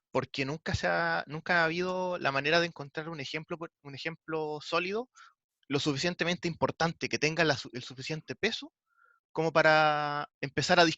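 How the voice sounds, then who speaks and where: A man from southern Chile with a Chilean accent, talking in an online panel discussion in a halting way, with frequent restarts and filler sounds. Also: a little high, sharp tone, no echo. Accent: Chilean Spanish. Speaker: discussion participant. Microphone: video call. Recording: dull, dry lo-fi video call audio.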